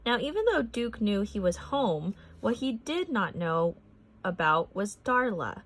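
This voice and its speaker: A woman's voice: mid-range voice, big pitch swings, a little slow. Woman reading a children's story aloud. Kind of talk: children's read-aloud narration.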